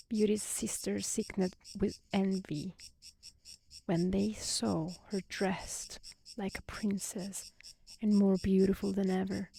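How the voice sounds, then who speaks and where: A woman reading a fairy tale as soft-spoken ASMR narration, gentle and hushed, often close to a whisper. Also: mid-range voice, creaky, slightly rough, rough recording. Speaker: storyteller.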